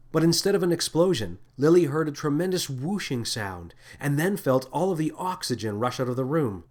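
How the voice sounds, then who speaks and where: A man reading scripted sci-fi fiction as an audiobook narrator, in a dramatic storytelling style. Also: medium pitch. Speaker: author and narrator.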